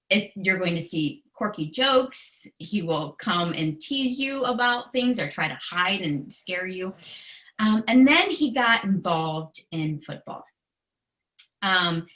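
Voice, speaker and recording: Earnest American woman, slightly low-voiced. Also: fairly bright tone, rough recording. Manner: earnest, emotionally open, conversational. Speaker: guest author and special education educator. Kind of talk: personal anecdote in an interview.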